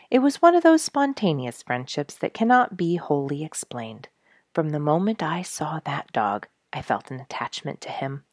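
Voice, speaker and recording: Woman storyteller, low pitch, dry close sound, measured expressive phrasing, scripted storybook narration. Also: no room sound. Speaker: story reader, show host.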